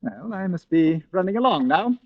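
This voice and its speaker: An actor playing a genteel, suave English villain in an old radio thriller, with an affected, effusive politeness and a British accent. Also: male voice, sits high, big pitch swings, moderate pace, no echo. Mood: smooth, polite. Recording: dull, lo-fi vintage radio recording.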